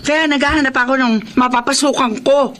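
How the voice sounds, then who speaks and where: An actress with a Filipino accent playing an irritable, nagging, suspicious older sister in a radio drama, scolding and accusing. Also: female voice, sits high, steady tone, fairly quick, a bit bright. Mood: irritated, accusing. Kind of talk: Tagalog radio drama acting.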